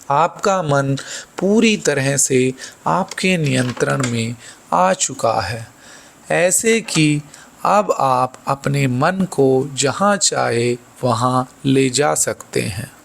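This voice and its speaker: A man leading a guided meditation as a yoga instructor, soothing and deliberate, giving instructions in short phrases. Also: mid-range voice, creaky.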